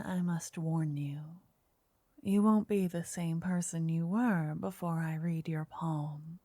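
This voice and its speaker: A woman playing a gentle, flirty fortune teller in an ASMR roleplay, speaking softly in a breathy half-whisper, coaxing and soothing the listener up close. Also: on the low side, dry recording. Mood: coaxing, mysterious. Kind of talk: ASMR roleplay, intimate scripted address to listener. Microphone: close, dry microphone.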